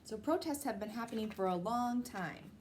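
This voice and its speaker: A woman's voice: a little high, lively intonation, moderate pace, darkish tone. Woman teacher reading aloud, noisy recording. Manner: explains simply, as to young children. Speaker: teacher reading a picture book to a class. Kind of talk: read-aloud with explanatory asides. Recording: noisy background.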